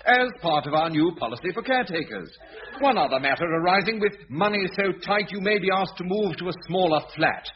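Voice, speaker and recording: An actor playing a formal, self-satisfied boss in a radio sitcom. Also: male voice, sits high, lively intonation, a little fast. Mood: pompous. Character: pompous middle-aged male boss, oblivious. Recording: muffled old radio recording.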